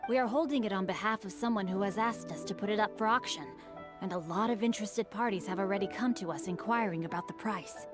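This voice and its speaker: A woman's voice: mid-range voice, fast, natural voice. A woman voicing a courteous, bookish young man in a video game, speaking formally and eloquently over music. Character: refined, bookish young male guild heir, courteous. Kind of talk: video game character acting. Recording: music behind the voice.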